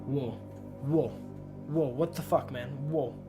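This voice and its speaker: A man gives loose livestream commentary on a game. He is chatty and slangy and swears freely. Music plays clearly behind his voice. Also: medium pitch, takes it slowly. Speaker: game streamer. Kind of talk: casual livestream commentary and reading game text aloud.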